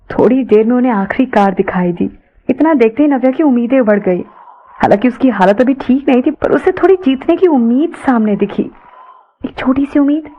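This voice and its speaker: A woman's voice: a little high, dull audio. A woman narrating an audio story in a dramatic, scripted style.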